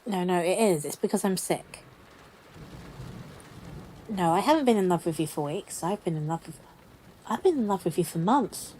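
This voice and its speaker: A woman playing a flustered, lovestruck girlfriend who is sick in bed, gushing and halting in an intimate audio roleplay. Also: fairly low pitch, expressive, mellow, a little hiss or hum, natural voice, poor audio. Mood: flustered amazement. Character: lovestruck, grumpy-but-soft woman sick in bed, newly smitten.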